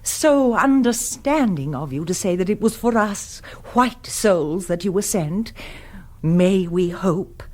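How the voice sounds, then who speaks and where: Imperious society hostess for radio comedy: very animated pitch, sugary, pretentious, upper-class English accent. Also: female voice, slow pace. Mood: gushing. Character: imperious, affected English society lady, sweetly scheming. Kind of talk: radio comedy drama acting.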